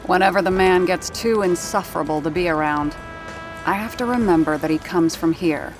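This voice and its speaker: A woman plays a sharp-tongued 1930s radio actor and sound effects artist in an audio drama. Her lowish voice carries a dry, sardonic edge as she narrates in character. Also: normal speed, over music, natural voice. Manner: wry, sardonic.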